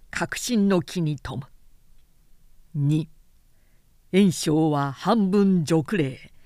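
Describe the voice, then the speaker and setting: A woman's voice: sits low, dark tone, no room sound, studio quality. A woman voicing a respectful male retainer who advises his lord formally and with reason in an audiobook. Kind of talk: audiobook character acting.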